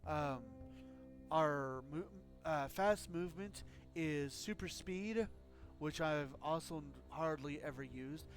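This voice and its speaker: A man's voice: a little high, takes it slowly, soft background music. A man live-streaming a game, talking casually with a scratchy throat and rambling explanations full of fillers.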